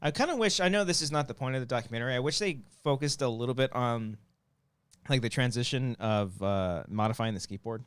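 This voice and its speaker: Male co-host, very quick talker, informal and sweary, dry close recording. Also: mid-range voice, fairly bright tone, clean tone, no echo. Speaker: show co-host. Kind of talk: casual panel chat with friends.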